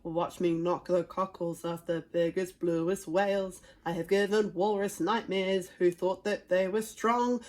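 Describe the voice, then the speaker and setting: A huge, blustering Viking father from a children's storybook, acted out by a woman. He is boastful and loud, booming his words emphatically. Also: fairly even intonation, measured pace, hardly any pauses, lightly acted.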